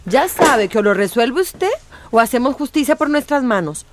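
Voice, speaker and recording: An actress playing an insistent, defiant community activist in a radio drama, firm and confrontational. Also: female voice, on the high side, expressive, normal speed, noisy background, natural voice.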